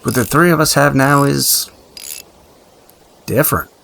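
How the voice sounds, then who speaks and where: An actor playing a practical, blunt but uneasy survivor and tinkerer in an audio drama, talking in a halting, gruffly casual, profane way. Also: male voice, mid-range voice, a bit bright, a little hiss or hum. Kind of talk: audio drama dialogue, naturalistic.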